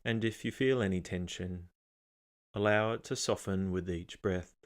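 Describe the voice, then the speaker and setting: A man narrating a guided meditation in a calm, measured, unhurried way, with pauses between instructions. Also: sits low.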